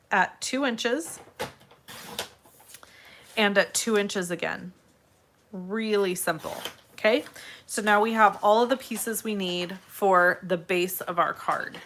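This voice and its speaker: A woman with a slightly raspy, congested voice hosts a casual step-by-step craft tutorial, addressing viewers in a friendly, direct way. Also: medium pitch, takes it slowly, pauses often, fairly bright tone, slight background noise. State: congested, irritated throat from allergies.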